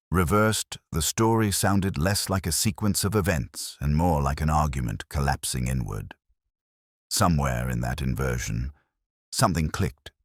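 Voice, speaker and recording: Man playing a very deep-voiced, brooding detective narrator. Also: no room sound, clean studio sound, heavily acted. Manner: measured, literary, brooding delivery. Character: melancholy, intellectual English police detective recounting a case. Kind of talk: scripted first-person detective story narration.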